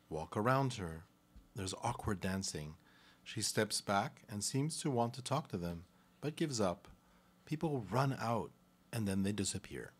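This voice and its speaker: A man's voice: on the low side, slightly rough. A man narrating a live radio play, reading out scripted stage directions.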